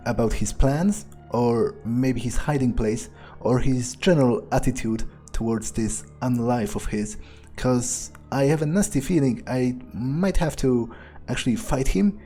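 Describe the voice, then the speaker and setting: A man's voice: medium pitch, mellow. A man thinking aloud in character during a video game playthrough, sardonic and hesitant, with casual swearing. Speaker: gamer playing in character.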